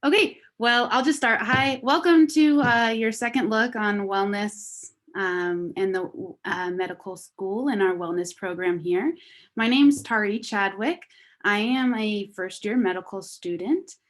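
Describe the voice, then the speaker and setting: A young woman from Las Vegas, a student, hosting a relaxed online welcome session on a video call and chatting with many fillers. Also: mid-range voice, unhurried. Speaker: wellness committee member hosting a video call. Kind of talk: casual online presentation.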